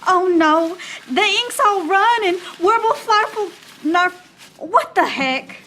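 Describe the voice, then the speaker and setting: An actress playing a sassy, melodramatic sister in a comedy radio serial, theatrical and drawn-out. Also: female voice, very high-pitched, animated, bright, forceful tone, slight background noise, full character voice. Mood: exasperated. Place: theater stage, performed live.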